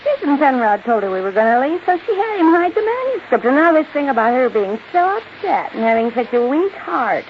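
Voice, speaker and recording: Hissy, muffled radio drama: a biting young wife, high voice, swooping intonation. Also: female voice, very animated, a little fast, few pauses. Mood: bitter. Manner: sardonic, biting. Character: bitter, sarcastic young wife. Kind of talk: 1950s radio drama acting.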